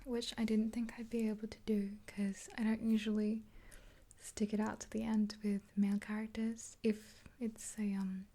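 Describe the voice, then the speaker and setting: Casting: woman in her twenties, soft husky whisper, subdued intonation, casual ASMR makeup-artist roleplay. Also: medium pitch, flat delivery, moderate pace. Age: 20s. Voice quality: breathy whisper. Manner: soft, gentle, rambling.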